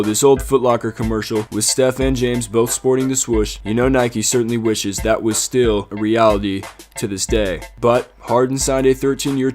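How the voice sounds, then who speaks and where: A man's voice: mid-range voice, darkish tone. A man hosting a video, delivering scripted sports commentary as a narrator. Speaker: sneaker video host. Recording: music bed under the voice. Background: background music.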